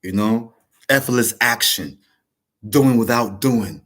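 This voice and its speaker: A man's voice: medium pitch, slow pace, fairly bright tone. Man with a rambling motivational talk. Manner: rambling, repetitive, frequent restarts. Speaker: vlog host. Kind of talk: casual motivational monologue.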